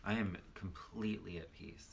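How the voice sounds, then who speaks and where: A man's voice: deep voice, steady tone, moderate pace, creaky, a little hiss or hum. A young man co-hosting a perfume review, chatting casually with dry humor. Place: cluttered indoor room with bookshelves.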